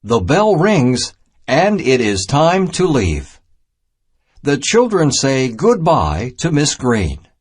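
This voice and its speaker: A man reads a simple children's lesson aloud at a slow, deliberate pace, setting each short phrase apart. His mid-pitched voice has a husky edge and moves up and down in a lively way as he narrates. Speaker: narrator of a children's math storybook. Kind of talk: scripted read-aloud narration, simple and instructional.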